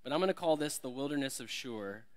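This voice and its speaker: Male guest preacher, mid-range voice, self-deprecating and warm in delivery, builds up when reading verses. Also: mid-range voice, animated, fast. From Alabama. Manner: friendly, self-deprecating, rises for emphasis when reading scripture. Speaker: visiting preacher. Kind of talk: sermon. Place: church sanctuary.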